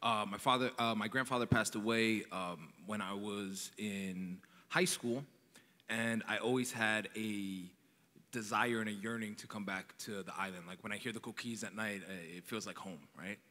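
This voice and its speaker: A man's voice: fairly low pitch, fairly even intonation, moderate pace, bright, forceful tone. A man from New York telling his story earnestly and energetically on a conference panel. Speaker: panelist, nonprofit founder. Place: large conference hall. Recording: long hall reverb.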